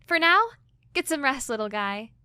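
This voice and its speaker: A woman's voice: high voice, animated, normal speed, pushed and bright, clean tone. An actress playing a bookish, flustered young woman explorer in an audio drama, wordy and analytical, stammering when rattled. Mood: gentle, tired. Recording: music under the voice.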